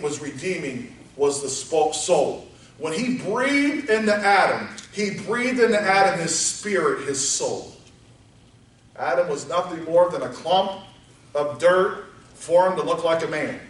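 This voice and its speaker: A man preaching a sermon, moving from quiet teaching up to shouted exhortation. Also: on the high side, lively intonation, measured pace. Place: church sanctuary. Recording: echoey church hall, voice from far off.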